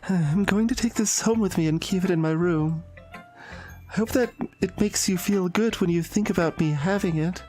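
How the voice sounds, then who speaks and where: A game streamer voicing an obsessive, lovesick, unhinged teenage anime girl in visual novel dialogue, breathy and intense. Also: mid-range voice, normal speed, darkish tone, soft background music, pitched above the performer's own voice, natural voice, poor audio. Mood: tender, intense.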